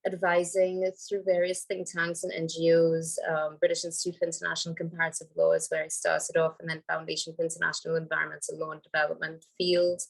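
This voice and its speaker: A woman, hoarse from a cold, speaking on a video call as a panel speaker, thoughtful with many ums and restarts. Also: low voice, monotone, quick pace, hardly any pauses, rough recording. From Trinidad and Tobago. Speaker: panel speaker, environmental lawyer. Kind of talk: casual panel talk.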